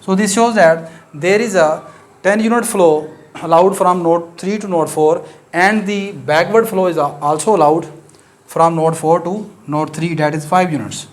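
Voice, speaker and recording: Urdu-accented man explaining a maths lecture. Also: high voice. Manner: measured, explaining step by step with frequent "uh" fillers.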